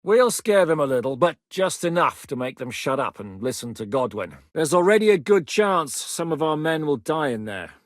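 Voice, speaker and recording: A gruff rebel commander. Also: male voice, on the high side, big pitch swings, normal speed, few pauses, no room sound, studio quality. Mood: commanding. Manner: blunt, commanding, plain-spoken. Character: gruff battle-hardened male rebel commander, blunt. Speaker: game character, rebel commander. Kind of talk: game voice lines.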